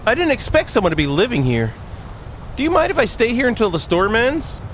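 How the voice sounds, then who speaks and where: A man's voice: extremely high pitch, huge pitch swings, a little fast, a bit bright, slight background noise, pitched above the performer's own voice, exaggerated voice. A man voicing a polite, grateful young stranger in a visual novel, chatty and apologetic in manner. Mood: flustered, apologetic. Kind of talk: visual novel character reading.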